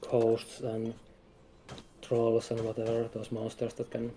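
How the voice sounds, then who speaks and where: A man chatting casually as a guest game developer, explaining things in a halting, hesitant way. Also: medium pitch, monotone, mellow, a little hiss or hum. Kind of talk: casual chat.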